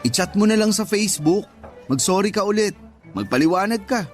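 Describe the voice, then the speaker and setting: A man's voice: sits high, animated, fairly quick, soft background music. A man playing a teasing, blunt young co-worker and best friend in a radio drama, joking and scolding as he talks. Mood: advising. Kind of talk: Tagalog radio drama acting.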